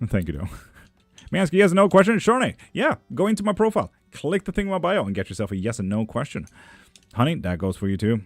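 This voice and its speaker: A man's voice: medium pitch, sing-song, quick pace, no room sound, faint music behind. A young man streaming tarot readings and answering his chat in a loose, joking way, with plenty of swearing. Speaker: tarot reader and witchcraft streamer. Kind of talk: casual livestream chat, reading out viewer messages.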